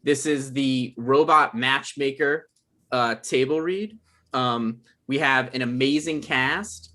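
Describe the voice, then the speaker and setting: A man in his thirties hosts an online event and introduces people informally. His voice is middle-pitched. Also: slow, pushed and bright. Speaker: host and writer introducing the cast. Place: indoor room, video call.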